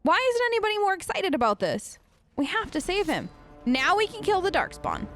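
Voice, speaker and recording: A woman's voice: very high-pitched, big pitch swings, fairly quick, a bit bright, faint music behind. A woman hosting a role-play let's play, chatty and playful, talking close to the microphone. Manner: playful, bantering. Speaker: gaming video host.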